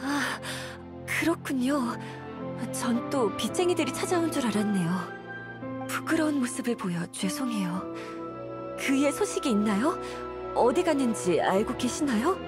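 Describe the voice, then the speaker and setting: A woman's voice: a little high, sing-song, a little slow, loud music behind the voice. An actress playing a weary, apologetic wife and mother burdened by debt in a video game, speaking politely and plaintively. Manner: polite, plaintive, earnest storytelling.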